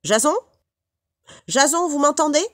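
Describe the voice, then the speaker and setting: A woman's voice: high-pitched, lively intonation, measured pace. A woman speaking as a news anchor, presenting scripted headlines and handing over to a reporter.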